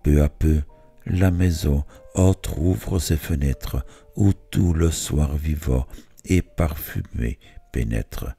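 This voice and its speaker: A man with an extremely deep, mellow voice reads poetry aloud. He moves slowly and deliberately, setting each phrase apart with a pause. Faint music plays behind his literary recitation. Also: creaky, husky. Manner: measured, phrase-by-phrase delivery with pauses. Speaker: poetry reader.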